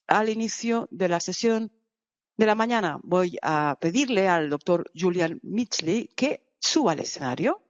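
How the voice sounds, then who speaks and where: Halting woman interpreting a conference. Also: fairly low pitch, no room sound, muffled recording. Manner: halting, with restarts and uneven bursts of speed. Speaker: simultaneous interpreter.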